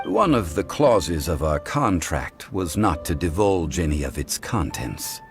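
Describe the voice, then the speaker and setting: An actor playing an ancient god in mortal form, formal, measured and composed, in a fantasy game. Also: male voice, sits low, sing-song, over music, full character voice. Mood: calm gravity. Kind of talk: scripted fantasy game dialogue.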